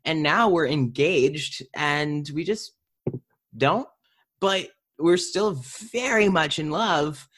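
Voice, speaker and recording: A woman's voice: sits low, slow pace. A woman chatting informally in an interview, slipping into imagined dialogue as she talks. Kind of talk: casual conversational interview.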